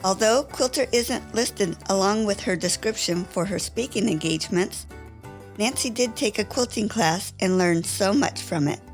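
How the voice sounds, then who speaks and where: A woman hosts a podcast, opening with a friendly, upbeat scripted introduction before turning to her questions. Her pitch is ordinary. Faint music plays underneath. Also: moderate pace, low-quality recording. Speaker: podcast host.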